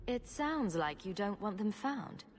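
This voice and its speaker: A woman's voice: medium pitch, very animated, a little slow. An actress playing a bold, sarcastic female rogue adventurer in a video game, with a teasing, challenging manner. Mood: wry, probing. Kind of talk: video game cutscene acting. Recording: clear background ambient noise.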